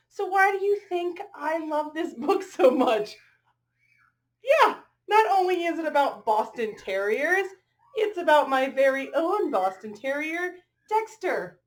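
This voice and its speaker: Female storybook reader for kids: high voice, very lively intonation, draws out lines and checks in with listeners. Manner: expressive, drawn-out reading with questions to children. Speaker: teacher reading aloud to children. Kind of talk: storybook read-aloud with asides to young listeners.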